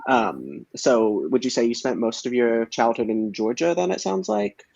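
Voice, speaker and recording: A man's voice: mid-range voice, sing-song, moderate pace, vocal fry, a little mellow, no room sound. A man interviewing a guest over a video call, friendly and hesitant, with many fillers as he asks his questions. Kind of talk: casual oral history interview. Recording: dull, dry lo-fi video call audio.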